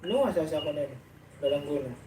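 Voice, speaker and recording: A man's voice: on the high side, expressive, a little fast, mellow, distant mic, slight background noise. A man bantering casually as a companion on a livestream, recorded in a roomy space with group chatter.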